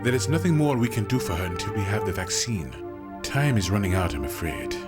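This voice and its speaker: A sober, dry pathologist, played by a man in a video game cutscene. His low voice is matter-of-fact and understated. Loud music plays behind him. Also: lively intonation, brisk, natural voice. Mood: grave. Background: loud game soundtrack music.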